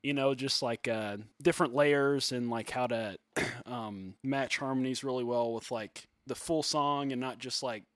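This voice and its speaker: A soft-spoken man in his thirties chats on a podcast in a fairly high, gentle voice. He rambles, restarts his sentences and leans on fillers. The sound is close and dry, with no room to it. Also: steady tone, unhurried, hardly any pauses, clean tone, no room sound. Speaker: musician and podcast co-host. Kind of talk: casual podcast chat.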